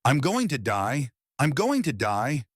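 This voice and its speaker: A man's voice: medium pitch, huge pitch swings, a little slow, breathy edge, dry recording, clean studio sound, pitched above the performer's own voice, full character voice. A man acting a panicked young college athlete, shaken. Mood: terrified. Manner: breathless, shaken, breaking voice.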